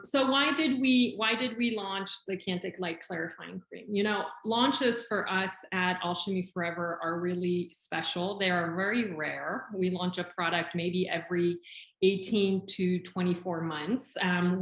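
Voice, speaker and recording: A woman's voice: mid-range voice, a bit bright, reverberant, poor audio. A middle-aged woman hosting a webinar over a video call, friendly and chatty with frequent fillers. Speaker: skincare company head hosting a webinar. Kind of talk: webinar presentation. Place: video call from home or office. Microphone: video call microphone.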